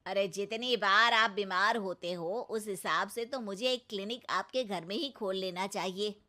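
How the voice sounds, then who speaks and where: A woman's voice: medium pitch, moderate pace, bright, forceful tone, close to an everyday voice. A woman voicing an admonishing family doctor in a comic cartoon, with a firm, advising manner.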